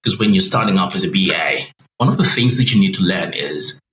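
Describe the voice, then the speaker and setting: A man in his forties, a guest telling his career story in an animated way and acting out quoted voices. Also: low-pitched, fairly quick. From Zimbabwe. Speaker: guest business analyst. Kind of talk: interview storytelling. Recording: roomy with faint noise.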